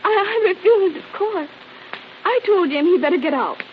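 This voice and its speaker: An actress playing an excited, frightened young wife in an old radio drama, stammering and breathless. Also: female voice, very high voice, moderate pace. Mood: anxious, insistent. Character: excited, frightened young wife fearing her husband was murdered. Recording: dull, hissy old radio recording.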